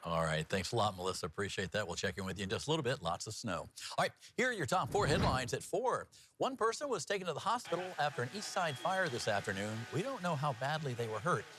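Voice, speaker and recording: Animated male news anchor, very lively pitch. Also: quick pace, few pauses, background noise. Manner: jokey, folksy, conversational. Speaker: TV news anchor and feature reporter. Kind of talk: chatty newscast banter and scripted narration.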